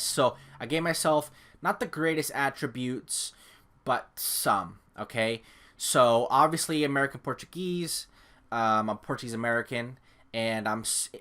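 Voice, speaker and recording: A man's voice: medium pitch, bright, forceful tone, clean tone. A young man from California giving casual, rambling gameplay commentary to camera at home, full of fillers and self-deprecating asides. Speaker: gaming YouTuber. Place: home room. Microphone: desk microphone.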